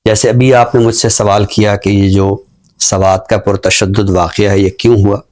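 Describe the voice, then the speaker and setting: Low-voiced Pakistani man, measured and scholarly. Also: little pitch movement, a little mellow. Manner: measured, formal, scholarly, with pauses mid-sentence. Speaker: literary talk show guest and book reviewer. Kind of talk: unscripted book commentary and poetry recitation.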